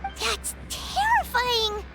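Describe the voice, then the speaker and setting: A woman voicing a tiny, excitable girlish fairy companion in a video game, with a squeaky childlike voice and exclamatory delivery. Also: drawn-out words, creaky, full character voice. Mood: alarmed. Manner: exclamatory, speaks of self in third person. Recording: music behind the voice. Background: game music.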